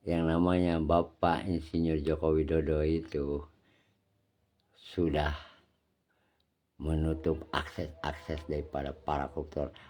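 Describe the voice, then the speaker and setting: A man from Indonesia with a husky voice, giving an impromptu political monologue in an emphatic, scolding, lecturing manner. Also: rumbling low, flat delivery, unhurried, pauses often, darkish tone. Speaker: nationalist commentator.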